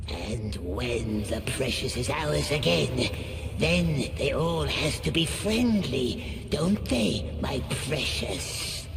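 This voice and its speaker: An actor playing a wretched, sly little cave creature in a video game, with a hissing, raspy, wheedling voice and childlike grammar. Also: male voice, medium pitch, expressive, takes it slowly. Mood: crooning, menacing. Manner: wheedling, odd childlike grammar, refers to himself by name. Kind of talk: video game cutscene acting. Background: loud cinematic game music.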